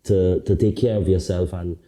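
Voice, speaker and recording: A man guesting on a podcast, telling his story in relaxed street slang with many "you get me"s. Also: deep voice, moderate pace. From Caribbean. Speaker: guest, artist turned talent manager. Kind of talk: casual podcast interview.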